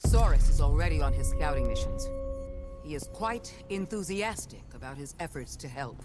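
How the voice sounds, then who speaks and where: A man's voice: a little high, expressive, moderate pace, over music, lightly acted. An actor playing a dutiful, formal aide reporting to his commander in a fantasy video game, politely spoken.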